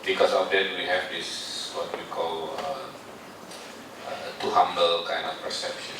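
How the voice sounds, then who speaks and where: A very low-voiced man with an Indonesian accent talks haltingly in English, stopping often on fillers and restarting his sentences. He stands well back from the microphone in a big, strongly echoing indoor room, and a clear hum of noise sits under his voice. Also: completely flat delivery. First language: Sundanese. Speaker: speaker addressing a foreign delegation. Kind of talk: informal presentation. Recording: far-off echoey room with noise.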